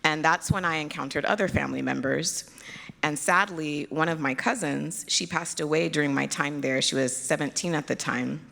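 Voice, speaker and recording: A Nigerian American woman speaks into a stage microphone at a conference, with a low, gravelly voice. Her talk is chatty and self-deprecating, and she laughs often with the audience. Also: normal speed, fairly bright tone. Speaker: pediatric hematologist, keynote speaker.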